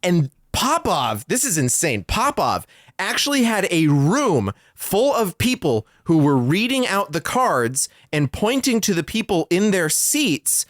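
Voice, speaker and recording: Animated man, higher-pitched podcast banterer. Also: measured pace, dry recording, studio quality. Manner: teasing, rattles off lists and explanations. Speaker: podcast co-host. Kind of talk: casual banter between friends.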